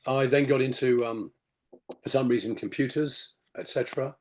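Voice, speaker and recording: A man in his sixties with a gravelly, slightly hoarse voice, rambling through his story on a video call with many "you know"s. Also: medium pitch, normal speed, darkish tone. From England. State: hoarse after a detox. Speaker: podcast guest. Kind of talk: casual storytelling interview.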